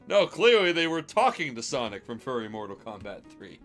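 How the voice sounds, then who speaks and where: A man reading fan fiction aloud for laughs on a stream, with animated, exclamatory, mocking delivery. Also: mid-range voice, brisk, faint music behind.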